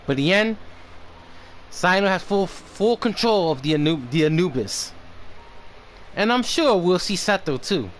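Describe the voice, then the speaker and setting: A man doing casual livestream commentary on a game, halting as he stumbles over names and repeats words. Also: high-pitched, expressive, fairly bright tone. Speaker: game streamer. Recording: slight background noise on stream.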